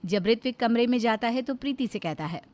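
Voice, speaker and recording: A woman's voice: fairly high pitch, expressive, fairly quick, darkish tone. A woman reading scripted narration for a cartoon story.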